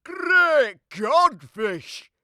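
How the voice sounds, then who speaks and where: High-pitched blustering old seafarer. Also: male voice, sing-song, drawn-out words, bright, forceful tone, dry recording, studio quality, full character voice. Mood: alarmed. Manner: exclamatory, blustering. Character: excitable, blustering old seafaring fishermen in a storybook.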